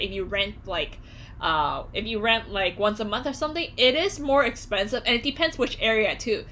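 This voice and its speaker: High, animated young Canadian woman. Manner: slangy, animated, giggly, heavy use of "like". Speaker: live stream host. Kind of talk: casual chatty live stream vlog.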